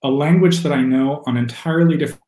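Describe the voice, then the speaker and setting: A young man speaks through a webcam from a room at home, his voice carrying a roomy echo. He talks in a thoughtful, hesitant way, pausing often and filling gaps with small sounds. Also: a little high, a little mellow. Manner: thoughtful, hesitant with many fillers. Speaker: poet and critic, guest. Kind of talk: video call conversation. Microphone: video call webcam.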